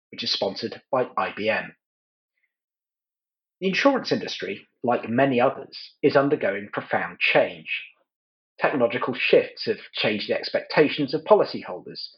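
A man moderating an online panel webinar, giving a measured, polished introduction. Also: medium pitch, muffled recording.

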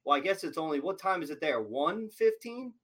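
Host, male, laid-back interviewer, slightly high voice, lots of ums. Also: a little fast. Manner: relaxed, filler-heavy questioning. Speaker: podcast host. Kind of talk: casual interview chat.

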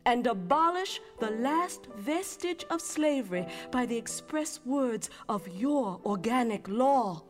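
An actress playing an earnest 19th-century petitioner for freedom in a theatrical reading, speaking in measured, declamatory phrases with weighty pauses. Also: female voice, on the high side, expressive, music in the background. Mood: solemn, fervent. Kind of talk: theatrical dramatic reading of historical documents.

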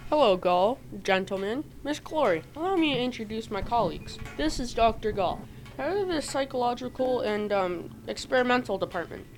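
Courteous, earnest male manager for amateur radio drama, played by a teen girl, halting delivery, slightly high pitch, faint music underneath. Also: expressive, poor audio. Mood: courteous, formal. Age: teenager. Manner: halting, hesitant reading with many ums. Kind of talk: amateur radio play acting.

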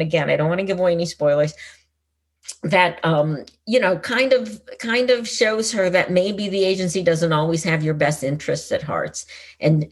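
Husky-voiced woman, chatty author. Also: on the low side. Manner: conversational, frequent restarts, laughs easily. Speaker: author and former intelligence officer. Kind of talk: casual interview talk.

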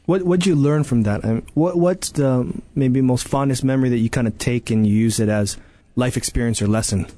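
A man's voice: medium pitch, moderate pace, mellow, dry recording. A man co-hosting a radio interview, asking questions in a casual, chatty way. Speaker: radio show co-host, interviewer.

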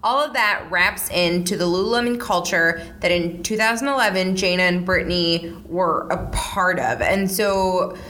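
A woman's voice: on the low side, moderate pace, few pauses, fairly bright tone. A woman hosting a casual true-crime podcast, talking conversationally with lots of "like" fillers. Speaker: podcast host.

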